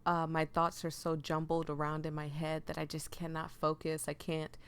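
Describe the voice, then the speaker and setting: A woman's voice: low voice, completely flat delivery, normal speed, clean tone. A woman hosting a casual let's play, reading game text aloud and chatting apologetically to viewers as she breaks off her own sentences. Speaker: gaming video host.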